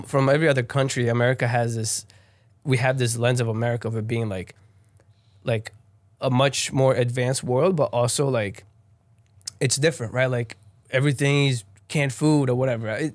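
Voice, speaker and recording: A man's voice: medium pitch, steady tone, moderate pace, no room sound. A young man from Brazil, a guest in a casual interview, telling his story with lots of fillers and restarts. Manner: rambling, many fillers and restarts.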